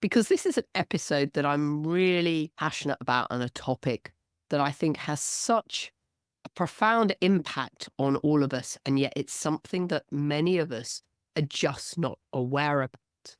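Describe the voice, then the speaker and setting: Hoarse low-voiced woman podcast host. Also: expressive, moderate pace, dry recording, clean studio sound. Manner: earnest, explanatory. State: hoarse with a cold. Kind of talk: solo business podcast talk.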